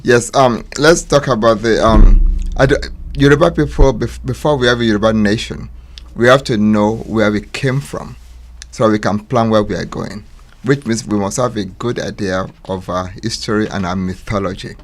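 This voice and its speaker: Low-voiced man, deliberate phrasing. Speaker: author and radio guest speaker. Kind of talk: explanatory talk on history.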